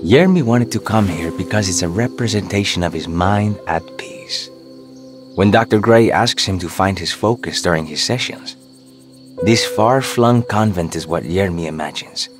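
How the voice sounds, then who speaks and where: An actor playing a serene, enigmatic author who serves as a guide in a video game, speaking in a measured, formal, philosophical way. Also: male voice, deep voice, music in the background, lightly acted. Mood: calm, reflective. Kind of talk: cinematic game dialogue.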